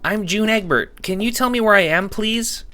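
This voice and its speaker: A man's voice: high voice, normal speed, bright, forceful tone, faint music behind, pitched above the performer's own voice. A man voicing a bewildered, polite young girl in an audio drama, hesitant and stammering. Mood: eager, nervous. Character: June, bewildered, polite young girl waking without memories.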